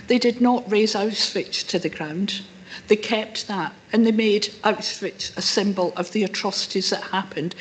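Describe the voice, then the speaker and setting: A woman with a rough voice, speaking as a member of the public to a council meeting and answering questions. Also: medium pitch, rough recording. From incomer to the town. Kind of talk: formal address to a council meeting.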